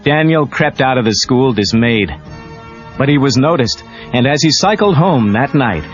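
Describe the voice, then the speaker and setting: A man narrates a children's read-along tale with animated, widely moving intonation. His voice sits in a middle register, on a muffled old cassette with prominent music behind him. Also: medium pitch, moderate pace. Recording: dull lo-fi cassette tape.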